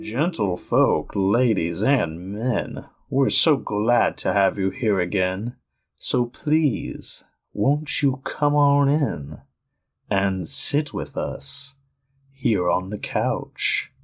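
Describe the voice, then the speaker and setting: A man putting on a slow sultry greeter voice. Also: lively intonation, heavily acted. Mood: seductive. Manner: low, drawn-out seductive delivery. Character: sultry slow-talking male podcast greeter. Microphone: podcast microphone at home.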